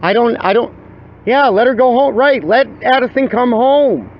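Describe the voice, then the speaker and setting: A man's voice: very high-pitched, animated, normal speed. A man with a strained, wearing voice, talking in an insistent, repetitive plea as he livestreams a dispute. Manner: insistent, pleading, repeats himself. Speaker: livestreamer filming own dispute with police. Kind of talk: agitated pleading to officers and viewers. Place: outdoors by a street with traffic. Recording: dull lo-fi outdoor recording, faint traffic noise.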